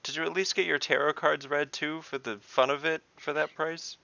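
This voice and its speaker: A man's voice: on the high side, little pitch movement, moderate pace, vocal fry, sharp tone, no room sound, lo-fi sound. A man chatting casually as a player in an online tabletop game, with sardonic, sweary banter.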